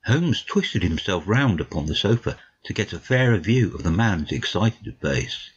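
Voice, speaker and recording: A man playing an educated gentleman who narrates a detective story in a measured, literary way, on slightly lo-fi audio. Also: sits low, big pitch swings, husky, full character voice. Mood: measured storytelling.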